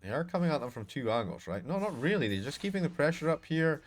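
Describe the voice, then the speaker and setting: A Scottish man casting a live video game match on his own, talking to chat between fights and getting loud and excited in the action. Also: on the high side, fast. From Scotland. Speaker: esports caster. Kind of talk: solo live game commentary with chat asides.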